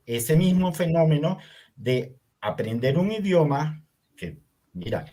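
An older man from Venezuela, whose was Hungarian, telling his story in an interview in a reflective, unhurried way with many fillers. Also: a little high, lively intonation, mellow. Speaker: artist guest. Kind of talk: conversational interview.

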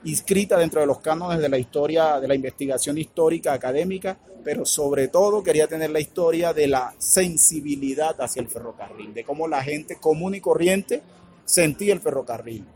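A man with a Colombian Caribbean accent, answering interview questions in a halting, thoughtful way with many filler words. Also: a little high, darkish tone, slight background noise. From Colombia's Caribbean region. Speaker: author and academic guest. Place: radio booth at a book fair stand.

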